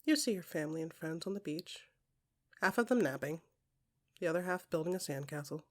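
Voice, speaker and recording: Breathy, low-voiced woman narrating fiction. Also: expressive. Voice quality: breathy, often dropping into whispers. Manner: intimate, dramatic, deliberate short phrases. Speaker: podcast creator and narrator. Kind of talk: scripted second-person audio fiction narration.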